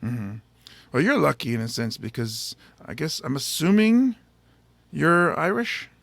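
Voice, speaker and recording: A male podcast host chatting casually in an interview, playful and teasing himself, often halting on fillers. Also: fairly high pitch, very animated, vocal fry, dry recording. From United States.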